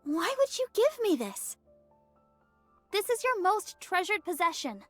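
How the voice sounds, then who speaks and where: Very high, stubborn young girl. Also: sing-song, unhurried, no echo, faint music behind, heavily acted. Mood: upset, stubborn. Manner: blunt, insistent. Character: earnest, perceptive, anxious young girl soldier, stubborn. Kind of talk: video game voice acting.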